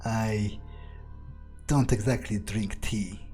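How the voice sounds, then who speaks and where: A man's voice: lowish voice, steady tone. A man roleplaying a guarded, blunt, sardonic vampire in a video game, with a wry, hesitant, thinking-aloud delivery. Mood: curious, wary. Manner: wry, unhurried, hesitant musing, casual swearing. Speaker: let's player. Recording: faint music behind the voice. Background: faint game music.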